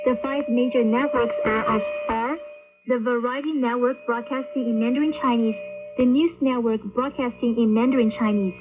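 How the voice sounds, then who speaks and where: A woman co-hosting a radio program, giving information in a measured, formal announcing style. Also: on the high side, fairly even intonation. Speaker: radio host. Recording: tinny shortwave radio broadcast, music behind.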